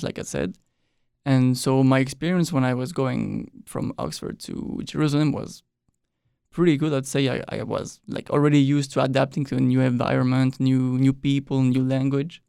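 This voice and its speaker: Young man with a gravelly voice. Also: mid-range voice, moderate pace, no echo. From Mexico. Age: young adult. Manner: relaxed, loose with frequent "like" and quiet trailing ends. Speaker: exchange student guest. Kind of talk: casual interview chat. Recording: dry close studio mic.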